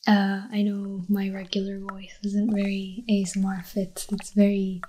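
A woman speaks in a relaxed, mellow tone, slipping in and out of a whisper as she rambles through a late-night ASMR talk in her apartment. Her delivery is slow and even, with little rise and fall. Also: medium pitch, a little hiss or hum, rough recording. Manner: rambling, drifts between soft voice and whisper. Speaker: ASMR creator. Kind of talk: soft-spoken ASMR chat.

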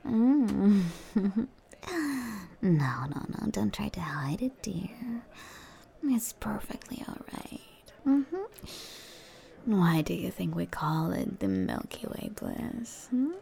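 A woman whispers very slowly and close, in an ASMR-style roleplay. Her voice has a heavy gravelly fry and a dark, mellow tone. She sounds soothing and coaxing, with soft murmured hums. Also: mid-range voice, sing-song. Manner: coaxing, soothing, playful, with pet names.

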